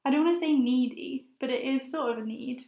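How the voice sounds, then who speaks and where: A young woman in her twenties with a high voice, a master's student answering interview questions in a hesitant, thoughtful way with many fillers. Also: a little fast, gravelly, mellow. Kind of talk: casual conversational interview. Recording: roomy echo.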